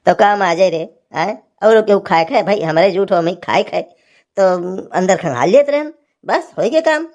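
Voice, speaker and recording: A woman's voice: mid-range voice, animated, normal speed, darkish tone, lightly acted. A woman voicing a grumbling, teasing village husband in a cartoon comedy, with an Awadhi accent and a folksy, scolding manner.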